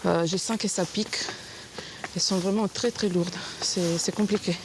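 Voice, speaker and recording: A woman in her thirties from Sardinia with an Italian accent, talking haltingly in a documentary interview. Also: on the low side, fairly even intonation, noisy background. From Sardinia, Italy. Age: 30s. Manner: hesitant, many restarts and fillers. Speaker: cosmetic surgery patient. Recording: music playing underneath.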